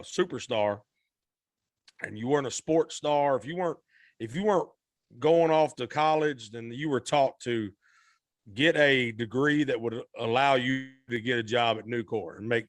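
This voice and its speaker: A man from Arkansas in his thirties, talking as a podcast guest in a loose, folksy, rambling manner. His pitch sits in an ordinary middle range. The sound is dry and close, with no room around it. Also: normal speed, dry recording. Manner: rambling, self-correcting, folksy. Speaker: guest singer. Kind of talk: relaxed conversational storytelling.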